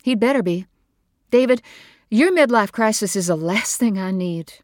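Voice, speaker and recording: A woman's voice: medium pitch, a little slow, dry recording, clean studio sound, voice pushed up, lightly acted. A woman voicing an upset middle-aged mother who talks raised and strained.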